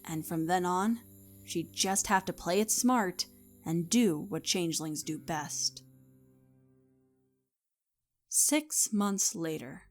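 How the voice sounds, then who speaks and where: A woman's voice: fairly low pitch, takes it slowly, long pauses. A woman reading scripted story narration as the narrator of an audio play. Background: music.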